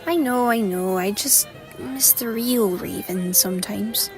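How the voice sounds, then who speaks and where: Serene female seer for audio drama, acted by a woman, higher register, loving and measured, music bed. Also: natural voice. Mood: fond. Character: blind woman seer, calm, loving, portentous.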